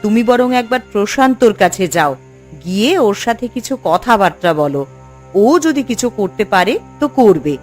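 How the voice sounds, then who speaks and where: A woman's voice: medium pitch, expressive, moderate pace, darkish tone, faint music behind, natural voice. A woman voicing a sensible village housewife in a cartoon story, advising and reproachful. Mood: chiding. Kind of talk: Bengali cartoon story voice acting.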